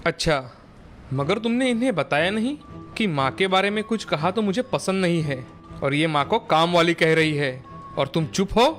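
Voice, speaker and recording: Man acting a stern, higher-voiced young husband. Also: lively intonation, moderate pace, fairly bright tone, faint music behind. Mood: displeased. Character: stern young husband protective of his mother. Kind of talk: animated moral story dialogue.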